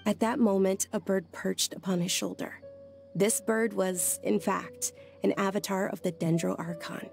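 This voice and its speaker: A woman playing a gentle, polite young follower of a deity in a video game, speaking with soft, hesitant courtesy. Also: medium pitch, fairly even intonation, normal speed, creaky, close to an everyday voice. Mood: earnest storytelling. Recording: faint music behind the voice. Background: background music.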